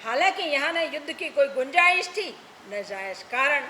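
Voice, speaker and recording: A woman with a very high, expressive voice narrates a story theatrically on stage. Her tone is bright and forceful. The hall adds long reverberation around her dramatic delivery. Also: a little slow. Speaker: stage performer. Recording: echoey hall, noisy distant stage recording.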